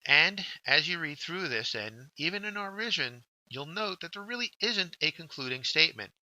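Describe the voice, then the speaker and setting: A man's voice: fairly high pitch, big pitch swings, moderate pace, pushed and bright, no echo, low-quality recording. A man giving scripted instructional narration as a workshop instructor, guiding learners step by step and addressing them directly.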